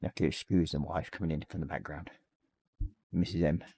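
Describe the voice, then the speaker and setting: A man in his forties with a slightly croaky voice, casually chatting on a live stream and reading out viewer messages as he paints. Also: rumbling low, brisk, dry recording. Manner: reads chat messages aloud, relaxed and rambling. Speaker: livestream host. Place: small hobby room at home.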